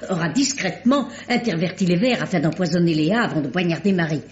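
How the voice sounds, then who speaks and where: A woman's voice: fairly low pitch, fast, close to an everyday voice. A woman playing a poised lady sleuth. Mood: determined. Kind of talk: 1950s French radio drama acting. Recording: dull, roomy old radio recording.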